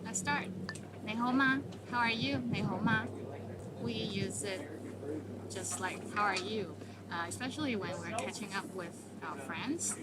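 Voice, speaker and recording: A woman hosting a casual language lesson, saying each phrase slowly and then explaining it in English. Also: medium pitch. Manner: explains phrases in English between slow Cantonese examples. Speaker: language teacher. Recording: background noise.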